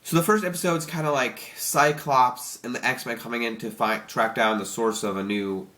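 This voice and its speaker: Stuffy-nosed young man rambling excitedly. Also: mid-range voice, moderate pace. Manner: rambling, excitable, many restarts. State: stuffy nose from allergies. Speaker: YouTube reviewer. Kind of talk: casual spoiler review.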